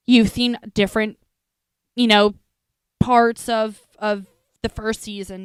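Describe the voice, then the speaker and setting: Young woman cohost, chatty and teasing, clear smooth voice a bit high, dry sound. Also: dry recording. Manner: warm, chatty, playful teasing. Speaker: podcast co-host. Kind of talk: casual podcast chat.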